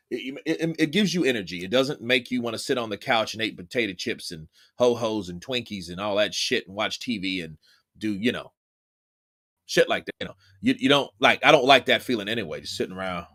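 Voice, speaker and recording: A man's voice: on the low side, fairly bright tone. Loose, profane man streaming. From Texas, United States. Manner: loose and profane, chatting with viewers. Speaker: boxing commentator streaming live. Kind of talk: casual live-stream commentary.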